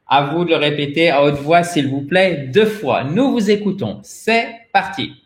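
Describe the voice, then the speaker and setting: A man's voice: fairly high pitch, expressive, moderate pace, reverberant. A man giving an interactive pronunciation lesson, explaining didactically and addressing his learners directly, a native French speaker. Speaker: English pronunciation teacher, host.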